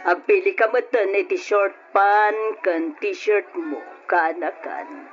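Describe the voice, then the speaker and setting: Coaxing, thrifty middle-aged Ilocano aunt played by an actress, unhurried and hesitant, muffled radio drama sound. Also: female voice, mid-range voice, steady tone, soft background music, natural voice. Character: coaxing, penny-pinching middle-aged aunt shopping with nephew.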